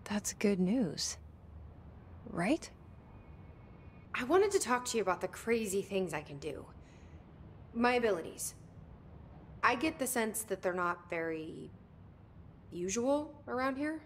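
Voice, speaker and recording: A woman's voice: medium pitch, sing-song, slow, pauses often, slight background noise. An actress playing a determined, guarded young woman in a video game, speaking tersely and directly. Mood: curious, guarded. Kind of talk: video game dialogue acting.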